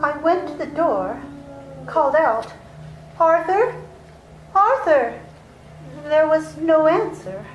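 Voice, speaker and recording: A woman playing a frightened, fragile young woman in a live radio play, speaking slowly and fearfully. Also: sits high, expressive, muffled recording, noisy background. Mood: dread. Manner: slow, hushed and fearful. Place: library hall with live audience. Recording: echoey hall with faint music.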